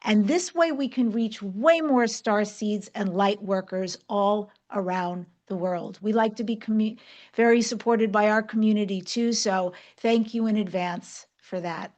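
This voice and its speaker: A woman's voice: mid-range voice, a little slow, darkish tone. A woman from New York working as a podcast host, speaking directly and with emphasis. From New York, United States. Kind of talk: podcast hosting, announcements and interviewing.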